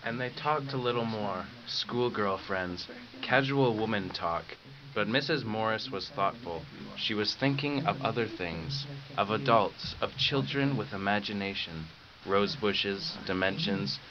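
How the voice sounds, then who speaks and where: A man narrating a 1950s-style radio drama in a measured, deliberate, ominous manner. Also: on the low side, crowd noise, lightly acted. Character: measured, ominous male storyteller of eerie tale. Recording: dull lo-fi radio recording, clear hiss.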